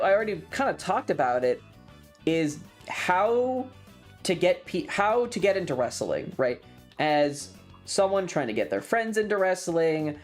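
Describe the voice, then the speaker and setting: A streamer chatting casually and enthusiastically in a rambling, colloquial way, like a fan talking to friends. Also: medium pitch. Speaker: streamer and content creator.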